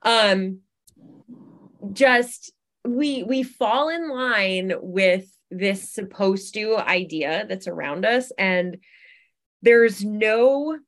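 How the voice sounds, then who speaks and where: Female interview guest, conversational and reflective, slow-paced delivery, medium pitch. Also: a bit bright. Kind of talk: conversational self-help discussion.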